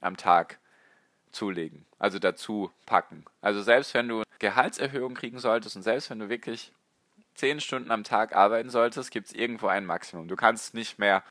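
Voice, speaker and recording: Low, bright-voiced man with a strained voice. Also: moderate pace, no echo, studio quality. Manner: rambling, conversational, addresses listener directly. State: strained, slightly hoarse voice. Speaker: podcast host. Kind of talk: casual solo podcast on personal finance.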